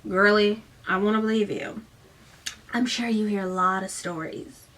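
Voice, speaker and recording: A woman's voice: medium pitch, steady tone, normal speed, fairly bright tone, a little hiss or hum, lightly acted. Woman reading as a skeptical male party doorman. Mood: doubtful.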